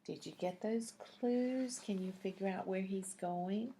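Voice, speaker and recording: A woman's voice: mid-range voice, measured pace, warm tone, slight background noise. A woman reading a picture book aloud to young children in a teacherly way, putting questions to the listeners.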